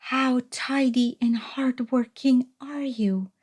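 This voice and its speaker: A woman's voice: high-pitched, steady tone, takes it slowly, breathy edge, pitched above the performer's own voice, exaggerated voice. A woman voicing a timid, polite hermit crab in a children's storybook, earnest and courteous. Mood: admiring, polite. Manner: gentle, earnest, courteous questioning.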